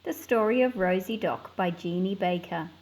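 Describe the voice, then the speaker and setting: A woman with an Australian accent presenting a picture book for children, explaining things in simple, clear phrases. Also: medium pitch, moderate pace, darkish tone, rough recording. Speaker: read-aloud host for children.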